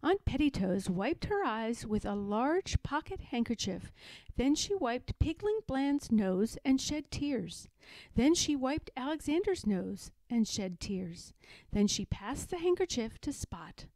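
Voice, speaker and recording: A woman reading a children's story aloud in an expressive narrating style. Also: a little high, takes it slowly, no echo, rough recording. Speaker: story reader.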